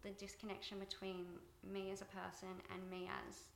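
A soft-spoken woman being interviewed about her directing work, thoughtful and pausing with fillers. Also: mid-range voice, monotone, creaky, roomy sound, a little hiss or hum. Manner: thoughtful, many fillers and restarts. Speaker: guest, TV director. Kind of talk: reflective interview talk.

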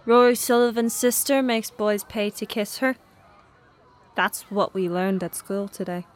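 A woman's voice: mid-range voice, unhurried, lightly acted. A woman playing a young schoolboy in an audio drama, sullen and evasive, with terse, matter-of-fact answers. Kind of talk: naturalistic radio drama acting.

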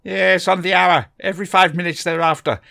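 A man's voice: fairly even intonation, fairly quick, gravelly, heavily acted. A man voicing an eccentric, fussy old male time traveller who dithers and fusses. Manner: fussy, dithering, high and loud.

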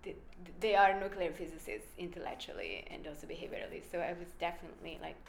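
A woman's voice: fairly low pitch, sing-song, fast talker, creaky, echoey room, slight background noise. A woman with an Italian accent, a researcher explaining her work in an emphatic, conversational interview. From Italy. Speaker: brain researcher guest.

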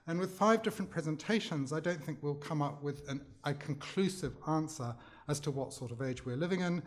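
A man introducing a panel, speaking hesitantly with restarts and fillers. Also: fairly high pitch. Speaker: panel chair.

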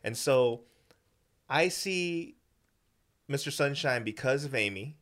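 A man hosting a podcast, telling stories in casual chat with a lot of swearing and animated energy. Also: medium pitch, slow pace. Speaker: podcast host. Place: indoor studio room.

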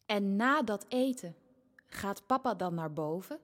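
A woman's voice: mid-range voice, animated, a little slow. An actress playing a young eldest daughter who tells her family's story in a radio play, plainly and with childlike simplicity. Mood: matter-of-fact, sad. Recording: prominent music behind the voice. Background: music.

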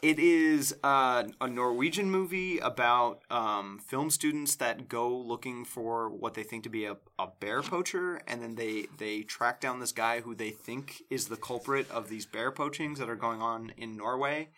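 A man's voice: medium pitch, measured pace, few pauses, sharp tone, slight background noise. A man co-hosting a podcast, chatting casually and enthusiastically, rambling with lots of fillers. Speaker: podcast co-host. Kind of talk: casual chat.

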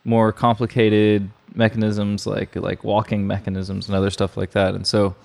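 A man's voice: on the low side, completely flat delivery, normal speed, vocal fry, a little mellow. A man co-hosting a podcast, explaining things in casual conversation. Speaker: podcast co-host. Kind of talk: casual chat.

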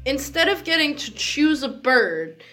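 A woman's voice: high-pitched, very animated, unhurried, sharp tone, faint music behind. A woman chatting casually as a podcast co-host, joking and giggly as she goes. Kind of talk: casual comedic chat.

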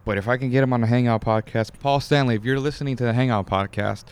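A man with a deep voice hosting a casual podcast chat, excitable and loose, swearing now and then. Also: quick pace, smooth. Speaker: podcast host.

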